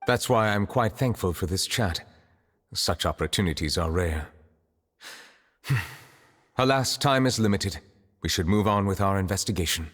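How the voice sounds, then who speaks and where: Low-voiced, solemn, dignified gentleman. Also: in a large hall. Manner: formal, measured, reflective. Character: solemn, reserved, dignified nonhuman man of high office. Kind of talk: video game voice acting. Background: music.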